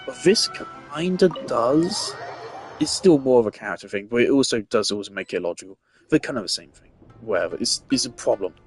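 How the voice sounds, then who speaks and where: A man's voice: medium pitch, lively intonation, fairly quick. A man giving casual, rambling gameplay commentary, blunt and swearing freely. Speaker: gaming streamer. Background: faint game music.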